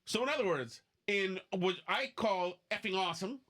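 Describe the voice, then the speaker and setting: Excitable, profane male podcast host. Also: high voice, normal speed. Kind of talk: casual opinionated banter.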